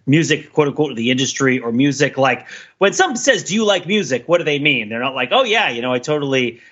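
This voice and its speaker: A man's voice: mid-range voice, fairly bright tone, husky. A man in a casual podcast discussion, rambling and interrupting himself as he thinks aloud, acting out imagined quotes. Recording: slightly dull, lo-fi audio.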